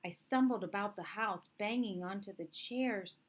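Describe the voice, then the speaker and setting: A woman reading a picture book aloud as a storyteller, with expressive, deliberate phrasing. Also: medium pitch. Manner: dramatic, deliberate phrasing with acted dialogue. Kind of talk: expressive children's read-aloud narration.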